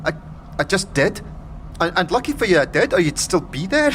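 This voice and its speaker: A man's voice: high voice, very animated, normal speed, a bit bright. A man voicing a wary, streetwise young tiefling woman in a fantasy audio drama, talking in clipped street cant. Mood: uncertain, hesitant. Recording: clear background ambience noise.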